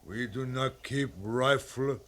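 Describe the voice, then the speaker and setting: Proud, very slow-spoken Cheyenne chief. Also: male voice, medium pitch, a bit bright. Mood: grave, reluctant. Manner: slow, halting broken speech. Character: proud, terse Cheyenne war chief, stubborn and dignified. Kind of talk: 1950s radio western acting.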